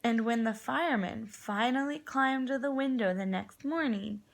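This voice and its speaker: A woman's voice: a little high, normal speed, gravelly. A woman kindergarten teacher reading a story aloud expressively, guiding young listeners with simple questions and dramatic phrasing.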